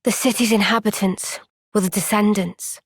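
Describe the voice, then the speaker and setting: A woman with a British accent voicing an earnest, gentle, thoughtful young woman soldier in a video game cutscene. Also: medium pitch, steady tone, moderate pace, husky, no echo. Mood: concerned.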